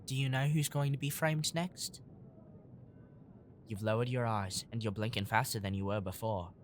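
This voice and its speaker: A woman's voice: rumbling low, fairly even intonation, normal speed, slight background noise. A woman in an audio drama playing a plucky, earnest boy who leads a gang of street urchins. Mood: pressing.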